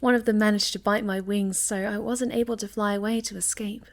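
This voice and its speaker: A woman playing a sweet, shy young moth girl in an ASMR roleplay, speaking gently and hesitantly, often dropping to a breathy near-whisper. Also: medium pitch, fairly even intonation, brisk, lightly acted. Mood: hesitant storytelling. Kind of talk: ASMR roleplay, soft intimate storytelling to the listener.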